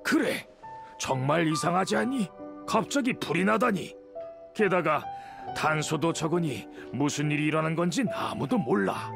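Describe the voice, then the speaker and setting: An actor playing an elderly, kindly master swordsmith in a video game, with an aged voice and measured, old-fashioned speech. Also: male voice, a little high, animated. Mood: troubled, measured. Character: elderly male master swordsmith, patient, kindly, worried for nephew. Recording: clear background music.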